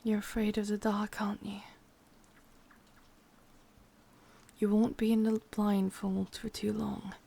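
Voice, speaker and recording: A woman with an English accent playing a possessive, doting witch captor in a whispered, intimate ASMR roleplay, breathy and softly commanding. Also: medium pitch, flat delivery, moderate pace. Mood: tender, coaxing.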